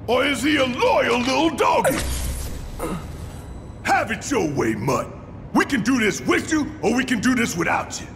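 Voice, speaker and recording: Burly game rebel, high-pitched for a man, wildly swinging intonation, gravelly, preachy, confrontational. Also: big pitch swings, normal speed, pauses often, full character voice. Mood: taunting. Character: burly hot-tempered gruff male eco-militant leader. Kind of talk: video game cutscene acting. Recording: reverberant hall, game music behind.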